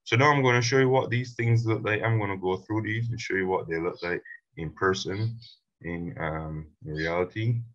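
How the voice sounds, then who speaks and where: A man teaching a screen-recorded software tutorial, explaining step by step in a relaxed way with fillers. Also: fairly low pitch, moderate pace, dull audio. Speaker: instructor.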